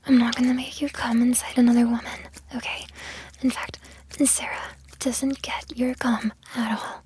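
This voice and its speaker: A woman performing a whispered intimate roleplay, breathy and sighing, coaxing the listener by turns with commands and soothing reassurance. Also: a little high, flat delivery, normal speed, a little mellow, a little hiss or hum. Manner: breathy whispering with frequent sighs.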